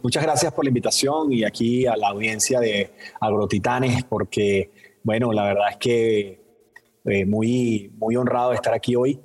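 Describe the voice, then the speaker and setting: A man's voice: fairly low pitch, fairly even intonation, normal speed, big-room sound, slight background noise. A Venezuelan man in his fifties, a guest answering interview questions in a thoughtful way, with frequent fillers and restarts.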